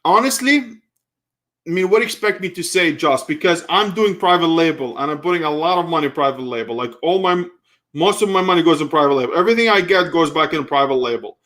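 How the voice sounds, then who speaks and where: A man in his thirties with a slightly higher-pitched voice. He hosts a live Q&A stream, answering viewers in a loose, rambling way, often restarting sentences as he thinks aloud. He grew up in Kuwait. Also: lively intonation, brisk, a bit bright. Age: 30s. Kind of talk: casual live stream Q&A, reading viewer comments.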